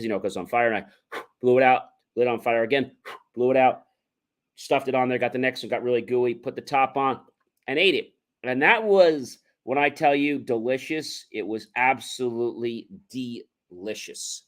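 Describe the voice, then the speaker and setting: A man's voice: medium pitch, fairly bright tone. Man chatting casually in rambling motivational monologue. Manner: rambling, conversational, emphatic asides. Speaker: livestream host, motivational speaker.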